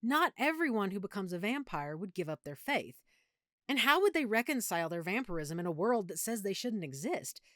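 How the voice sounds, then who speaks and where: A woman's voice: medium pitch, big pitch swings, a little fast, fairly bright tone, dry recording, clean studio sound. A woman playing an affectionate, opinionated girlfriend who is secretly a vampire, in an intimate, conversational audio roleplay. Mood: earnest, geeky. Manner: chatty, playful, geeky explaining.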